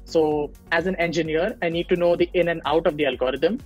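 A man's voice: fairly high pitch, fairly quick, faint music behind. A young man from India, explaining his field on a video call with many fillers and restarts, recorded on earbuds in a small room at home. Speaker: machine learning engineer guest. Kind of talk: casual interview on a video call.

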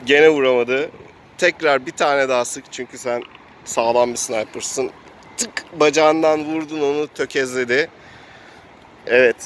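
A man with a mid-pitched voice hosts an improvised role-play adventure like a game master. He tells the action in a casual storytelling way, adding his own spoken sound effects. He is recorded outdoors, with light urban and vehicle noise behind him. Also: fairly even intonation, measured pace, fairly bright tone.

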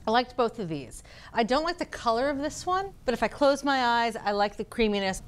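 A woman's voice: on the high side, lively intonation, a little fast, smooth. A middle-aged American woman presenting a cooking show in a casual, conversational way. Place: studio kitchen set with audience.

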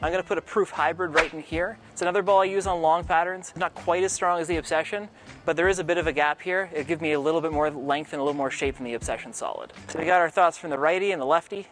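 A man's voice: on the high side, fairly even intonation, fast talker, background noise. A young man in a bowling alley methodically explaining each ball in his lineup, speaking through a lapel mic. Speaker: pro shop bowler presenting his arsenal. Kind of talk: scripted equipment walkthrough.

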